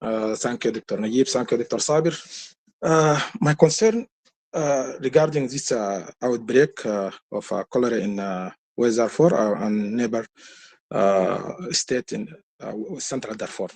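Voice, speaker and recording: A man with a Sudanese accent, a health worker speaking on a webinar, giving a halting, repetitive report that leads into questions. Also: medium pitch, a little mellow. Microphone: webinar call.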